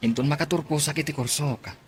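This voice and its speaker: An actor with an Ilocano accent playing an earnest, lovestruck young porter and student in a radio drama. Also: male voice, a little high, fast, a little hiss or hum, natural voice. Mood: earnest, smitten. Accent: Ilocano, northern Philippines. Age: young adult.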